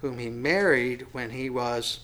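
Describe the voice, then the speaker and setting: A man's voice: medium pitch, a little slow, a bit bright. A man in his sixties teaching a Bible study in a folksy, self-deprecating way, with many fillers and restarts. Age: 60s. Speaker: pastor.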